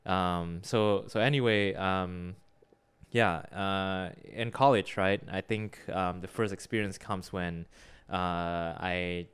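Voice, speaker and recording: A young man with a very low voice that is remarkably smooth and resonant. He talks casually, hedging with lots of "you know" and "uh", and restarts his sentences as he goes. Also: fairly even intonation, slow, a bit bright. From Singapore. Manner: hesitant, many fillers and restarts. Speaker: podcast co-host. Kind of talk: casual conversational podcast.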